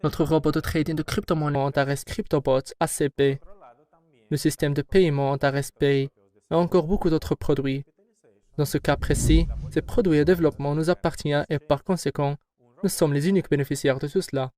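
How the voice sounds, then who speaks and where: A man heading a company, giving formal, measured and assertive answers in an interview. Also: on the high side, fairly even intonation, a little mellow. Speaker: company head answering questions.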